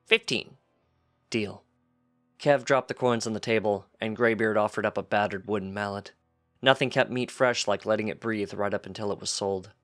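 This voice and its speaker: A man's voice: on the low side, steady tone, a little fast, fairly bright tone, faint music behind. A man reading fan fiction aloud as scripted story narration. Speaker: fanfiction reader.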